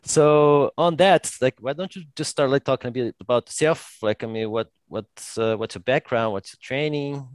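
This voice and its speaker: A man's voice: medium pitch, moderate pace, few pauses, clear, resonant tone, dry recording. Dry-sounding male podcast host. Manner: loose, filler-heavy questions with frequent "like". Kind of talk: casual interview hosting.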